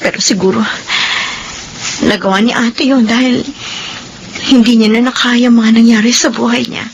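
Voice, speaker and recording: A woman acting as a compassionate young sister in a radio drama. Her voice is fairly high and she speaks with earnest, pious care, over faint background noise. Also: steady tone, normal speed, natural voice. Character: gentle, compassionate grown sister, pious and caring.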